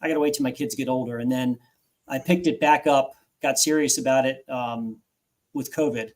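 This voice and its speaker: A man's voice: mid-range voice, fairly even intonation, moderate pace, a little mellow. A man in his forties, a guest author recounting his own story in an interview, earnest and reflective, often restarting phrases.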